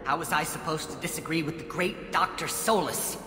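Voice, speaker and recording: An actor playing a bitter, defiant alien scientist and former student in a video game. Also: male voice, a little high, a little fast, sharp tone, a little hiss or hum. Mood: bitter, resentful. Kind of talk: video game character acting. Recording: echoey hall reverb under music.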